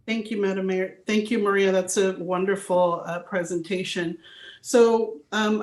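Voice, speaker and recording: A woman on a city council, courteous and halting with uhs as she offers remarks. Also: on the low side, echoey room.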